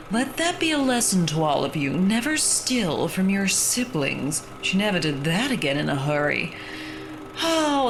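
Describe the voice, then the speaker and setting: A gleefully morbid hostess of a creepy story show, acted by a woman, swoops up and down as she delivers darkly comic scripted lines. Her manner is theatrical and playful, over clearly audible background noise. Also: medium pitch. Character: macabre horror hostess with gleefully morbid humour. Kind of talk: scripted comic horror introduction.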